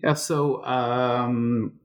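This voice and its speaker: Halting Israeli-born man scholar. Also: medium pitch, steady tone. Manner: halting, many fillers and restarts. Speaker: guest scholar. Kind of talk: interview answers.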